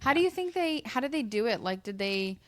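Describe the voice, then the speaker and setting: A woman's voice: a little high, very animated, brisk, a little hiss or hum. A woman co-hosting a casual podcast, thoughtful and questioning, often restarting her sentences. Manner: reflective, questioning, hesitant restarts. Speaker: podcast co-host. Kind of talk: casual chat.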